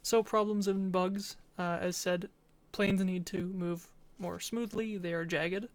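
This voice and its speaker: Flat-toned woman giving a project presentation. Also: fairly low pitch, unhurried, dry recording. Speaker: student presenter. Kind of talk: informal presentation with game demo narration.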